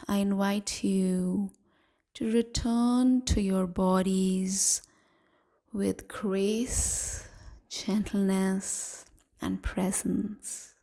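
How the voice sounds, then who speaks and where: A woman leading a guided meditation in a gentle, soothing, half-whispered voice, leaving long pauses between phrases. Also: mid-range voice. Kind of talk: guided evening body scan meditation.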